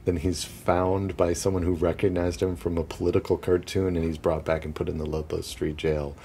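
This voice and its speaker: A man's voice: low-pitched, flat delivery, normal speed, creaky, dark tone, slight background noise. A young man guiding a walking tour outdoors on city streets, chatty and casual, often laughing between points. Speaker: tour guide.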